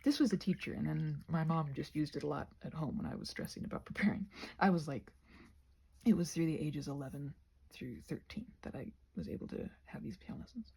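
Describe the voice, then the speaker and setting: A woman chatting casually in a soft-spoken, digressive, self-deprecating ramble as she works on a craft. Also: low-pitched, a little fast, creaky, breathy edge. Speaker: craft vlogger. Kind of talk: casual rambling chat while painting.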